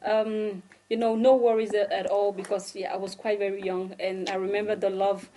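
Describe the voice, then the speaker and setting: A woman's voice: mid-range voice, level tone, moderate pace, dark tone, a little hiss or hum, poor audio. A woman from Ghana being interviewed, answering casually with lots of hesitations, fillers and restarts in a good-humoured way. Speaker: interview guest.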